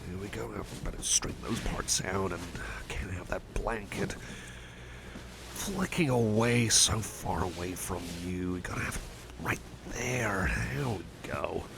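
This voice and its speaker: A man playing a shy, caring, anxious friend in an intimate ASMR roleplay, speaking softly and hesitantly with stammers and gentle, murmured rambling. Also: low-pitched, animated, a little slow, vocal fry, noisy background, full character voice.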